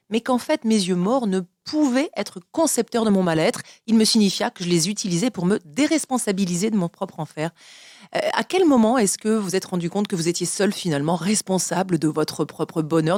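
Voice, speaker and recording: A woman's voice: mid-range voice, a little mellow, no room sound, clean studio sound. A woman hosting a literary radio interview, reading and asking questions. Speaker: radio host interviewing a guest.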